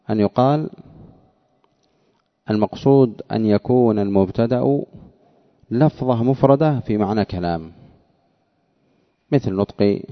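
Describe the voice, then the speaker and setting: A man teaching grammar in a lecture, explaining a text line by line in a didactic way and repeating phrases for emphasis. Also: fairly low pitch. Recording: dull lo-fi sound.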